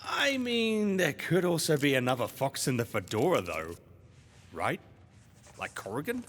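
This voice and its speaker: A man's voice: fairly high pitch, sing-song, unhurried, fairly bright tone, a little hiss or hum. A man playing a loyal, slightly anxious young adventurer's sidekick in an audio drama, earnest and questioning. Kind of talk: scripted audio drama dialogue. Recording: long reverb, music behind.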